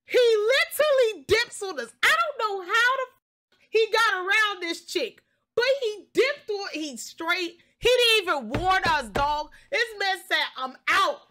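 A young woman telling a story casually on a livestream, expressive, slangy and sweary. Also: extremely high pitch, measured pace. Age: young adult. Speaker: game streamer.

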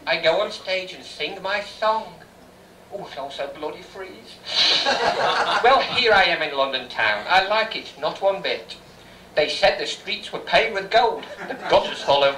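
A man's voice: sits high, expressive, a little slow. A man playing a cheeky young pantomime hero, reciting comic verse on a small stage. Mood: cheeky, boastful. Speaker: amateur pantomime performer. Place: small theatre bar stage, indoors. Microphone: far camera. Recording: distant noisy echoey bar stage recording.

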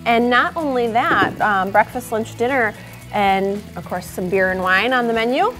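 A woman's voice: fairly high pitch, fairly bright tone, faint music behind, poor audio. A young woman with an Upper Midwest American accent, hosting a cooking segment on a studio set, enthusiastic and gushing about the food. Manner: enthusiastic, gushing, quick to laugh. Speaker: show host. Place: indoor studio kitchen set.

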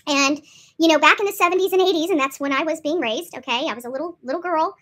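A woman's voice: high voice, fast talker, a bit bright. A woman in her forties telling stories casually to camera, emphatic and repetitive, often tagging sentences with little check-in questions. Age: 40s. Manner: emphatic, repeats herself, often checks with "okay?" and "right?". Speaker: vlogger. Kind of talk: animated casual storytelling to camera. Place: small indoor room.

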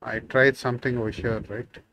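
A man's voice: medium pitch, level tone, brisk, creaky, fairly bright tone, slight background noise. Rambling man, Tamil native, thinking aloud. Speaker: programming tutor. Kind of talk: casual screen-share coding tutorial. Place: small room indoors.

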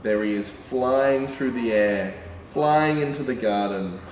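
A young man reading a picture book aloud to children as a storytime presenter, in an animated, exclaiming manner. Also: mid-range voice, slow. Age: young adult. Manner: animated, exclaiming, with excited gasps and asides. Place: indoor room with painted backdrop. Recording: roomy, distant mic, background noise.

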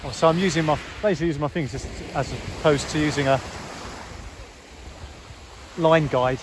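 A man's voice: on the high side, fairly even intonation, a little fast. A man, talking casually and instructively as a fishing vlogger. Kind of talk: casual instructional vlog talk. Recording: clear background ambient noise.

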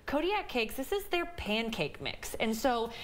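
A woman's voice: on the high side, sing-song, a little slow, slight background noise. Chatty Midwestern woman demonstrating a recipe. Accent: Midwestern American. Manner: chatty, explanatory, many "um"s. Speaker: dietitian guest. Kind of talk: cooking demonstration on morning TV. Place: home kitchen TV set.

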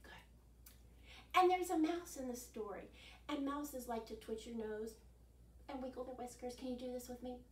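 A woman's voice: sits high, measured pace, pauses often, slight background noise. A woman in her sixties hosting an interactive children's storytime, encouraging listeners to join in, recorded at home by a distant microphone. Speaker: children's library storytime host. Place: carpeted living room at home. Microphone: distant camera microphone. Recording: roomy, mic a few metres away.

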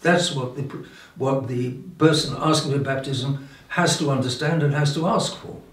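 An elderly man, a bishop preaching an informal sermon in a halting way, repeating and restarting his phrases with emphatic pauses. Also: medium pitch. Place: indoor room with religious paintings. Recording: roomy echo, distant microphone.

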